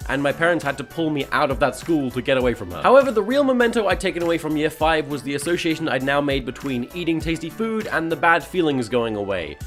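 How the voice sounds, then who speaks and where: A man's voice: medium pitch, a bit bright. A man in his twenties with a British accent, telling a personal story in a semi-scripted, confessional way as a video creator.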